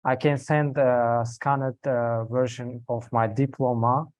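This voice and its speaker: A man's voice: medium pitch, flat delivery, slow pace, no room sound. Man from Tajikistan asking questions on a call. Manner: hesitant, explains at length with fillers. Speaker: prospective student asking questions. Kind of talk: online call Q&A.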